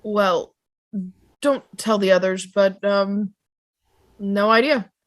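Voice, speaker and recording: A practical pilot who keeps order, played by a woman in an online drama read. The voice sits in the middle register, and the manner is brisk, dismissive and businesslike. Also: medium pitch, close to an everyday voice. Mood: matter-of-fact. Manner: matter-of-fact, dismissive. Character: brisk, no-nonsense vehicle pilot keeping order. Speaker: table read performer. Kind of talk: amateur drama table read. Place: online video call table read.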